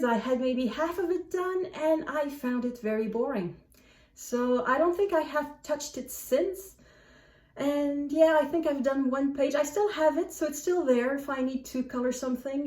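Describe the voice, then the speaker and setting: Echoey room, distant mic, woman, fairly high voice, casual book vlog chat, animated and wry about herself. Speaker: book vlogger. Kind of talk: casual chat to camera, answering book prompts.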